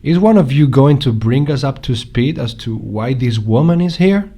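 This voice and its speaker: An actor playing a level-headed housemate in a comedy audio drama, with a calm manner. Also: male voice, mid-range voice, moderate pace, vocal fry. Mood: puzzled.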